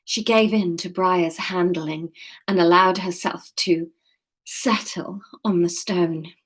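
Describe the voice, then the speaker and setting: A woman's voice: fairly low pitch, fairly even intonation, slow. A woman from the UK chatting casually on a livestream, rambling and joking about herself, laughing often, then reading her own story aloud.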